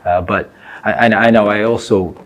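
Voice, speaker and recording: Middle-aged male executive, low pitch, informal host, faint noise behind. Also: steady tone, moderate pace. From Canada, grew up speaking Croatian. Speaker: company executive hosting the discussion. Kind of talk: casual conversational interview.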